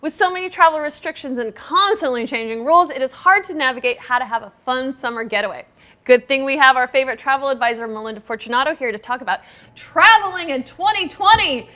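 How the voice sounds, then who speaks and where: A woman's voice: high-pitched, animated, fast, pushed and bright. A woman hosting a lifestyle talk show, interviewing in an upbeat, chatty, enthusiastic way. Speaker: TV show host.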